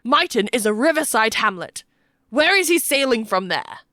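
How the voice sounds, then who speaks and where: Menacing male warrior interrogator, voiced by a woman narrator: loud, forceful, demanding, for a dramatic storybook. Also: medium pitch, expressive, takes it slowly, no room sound, studio quality, exaggerated voice. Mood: angry demanding. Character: menacing, booming warrior man interrogating a prisoner.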